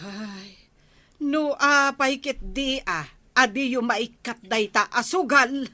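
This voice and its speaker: An exasperated, nagging wife in a radio comedy drama. Her voice sits fairly high and cuts through brightly and forcefully. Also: slow pace. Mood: scolding.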